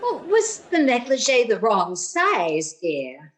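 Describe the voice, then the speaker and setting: A woman's voice: on the high side, wildly swooping pitch, slow, a little hiss or hum, exaggerated voice. A woman playing a fussy, well-meaning, scatterbrained landlady in a vintage radio sitcom style. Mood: puzzled, fussy.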